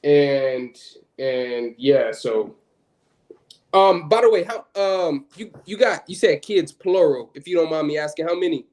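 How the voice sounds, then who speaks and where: A man's voice: fairly high pitch, expressive, unhurried, a little mellow. Laid-back man in his twenties. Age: 20s. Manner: laid-back, loose slang. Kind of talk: casual livestream chat.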